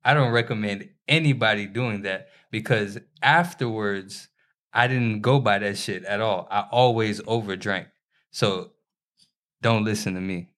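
A man's voice: lowish voice, unhurried. A man in his thirties co-hosting a podcast, talking loosely and laughing a lot, swearing freely as he tells stories. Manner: loud, laughing, profane banter. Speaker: podcast co-host. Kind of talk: casual chat.

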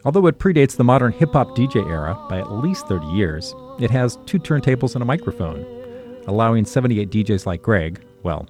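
A man's voice: fairly low pitch, sing-song, a little fast. A man narrating a scripted radio documentary as its reporter. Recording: faint music under narration. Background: soft music.

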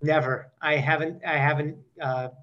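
A man's voice: on the high side, steady tone, moderate pace, a bit bright, lo-fi sound. A man in his forties hosting a podcast, bantering in a joking, self-deprecating interview style. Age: 40s. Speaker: podcast host.

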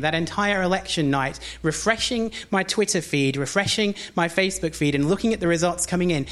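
A woman from North East England performing a spoken word poem on stage, then chatting to the audience between poems. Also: on the low side. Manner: intense measured verse delivery, then chatty asides with fillers. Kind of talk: poetry performance and stand-up patter. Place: live stage before an audience.

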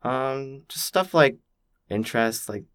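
A young man from Canada, thoughtfully telling his own story as a podcast guest, with many pauses and "like" fillers. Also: medium pitch, animated, fairly bright tone, clear, resonant tone, no echo. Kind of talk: reflective personal storytelling.

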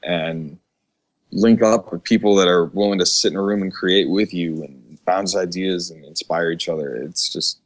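A man's voice: low voice, normal speed. A man talking casually as a musician guest on a podcast.

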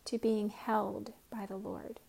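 Woman meditation guide, soft and often whispered, gravelly, flat, unhurried. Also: mid-range voice.

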